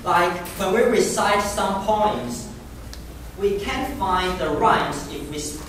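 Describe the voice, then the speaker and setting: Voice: mid-range voice, expressive, slow, fairly bright tone. Echoey distant speaker. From Taiwan. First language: Taiwanese Hokkien. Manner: earnest, poses questions to the audience. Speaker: club speaker. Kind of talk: prepared speech to a live audience. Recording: very echoey room from a distance, noisy.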